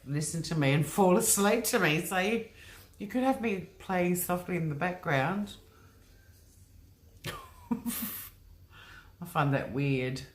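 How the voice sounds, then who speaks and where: Woman, very deep voice, chatty livestream host, rambling, lots of ums and likes, home computer mic. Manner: chatty, rambling, often says um and like. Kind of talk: casual livestream chat reading comments.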